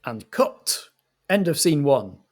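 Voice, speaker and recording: A man's voice: on the high side, sing-song, slow. A man acting as game master and director, setting scenes and giving instructions in a theatrical, organised manner.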